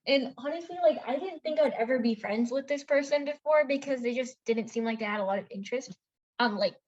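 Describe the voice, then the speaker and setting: A woman's voice: high voice, brisk, a little mellow. Chatty young woman, dull lo-fi sound. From India. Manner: chatty storytelling with many fillers and self-corrections. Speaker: podcast co-host. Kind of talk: casual chat.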